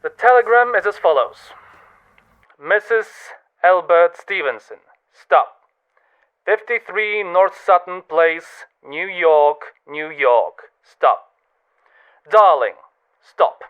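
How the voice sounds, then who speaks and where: A man playing an impersonal telegram clerk in a radio drama, reciting deliberately and officially. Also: high-pitched, lively intonation, smooth. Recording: dull, lo-fi sound.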